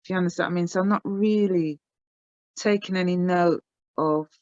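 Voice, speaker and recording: A woman from England, a singer, answers interview questions calmly and with assurance, sometimes repeating a phrase. The call audio is dry and dull-sounding. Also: on the low side, fairly quick, dry recording. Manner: calm, self-assured, repeats herself. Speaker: singer, guest. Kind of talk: casual interview on a video call.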